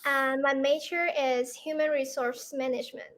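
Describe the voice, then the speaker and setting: A woman's voice: high voice, fairly even intonation, normal speed, fairly bright tone. A young woman from Vietnam with a Vietnamese accent, practicing English over a video call and speaking hesitantly with many fillers. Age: young adult. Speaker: English learner. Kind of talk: conversation practice.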